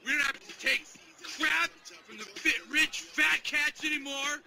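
A man voicing a rowdy, drunken middle-aged cartoon dad, loud, belligerent and foul-mouthed. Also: extremely high pitch, a little slow, gravelly, full character voice. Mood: defiant fury. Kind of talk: cartoon soundboard clips played into prank calls. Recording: noisy clipped soundboard clips over phone.

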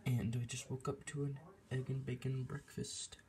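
A woman's voice: rumbling low, level tone, normal speed, dark tone. A young woman vlogging casually on her phone, chatting about her trip and a stray dog that wandered into the yard. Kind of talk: casual vlog chat. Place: porch and house, outdoors and in. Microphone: handheld phone camera. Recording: noisy phone audio outdoors.

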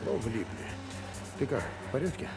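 A man's voice: mid-range voice, very animated, moderate pace, vocal fry, over music. An actor playing a private detective in a radio drama, wry and offhand as he probes and muses. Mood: conciliatory.

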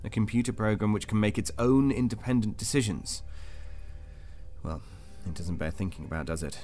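An actor playing a calm, clinical and controlling interrogator in an audio drama, speaking in a measured, patronising way. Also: male voice, lowish voice, faint music behind, natural voice. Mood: cold, calm.

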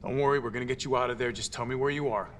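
An actor playing a tough, earnest mercenary soldier in a video game, direct, urgent and a little wry. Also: male voice, mid-range voice, fairly even intonation, very fast, a bit bright, a little hiss or hum, lightly acted. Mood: reassuring, firm. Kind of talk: cinematic video game acting.

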